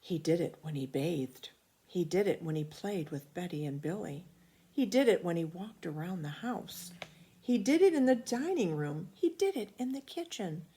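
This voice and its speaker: A woman reading a children's picture book aloud, expressively and emphatically, with dramatic pauses. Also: on the low side, animated, warm tone, slight background noise.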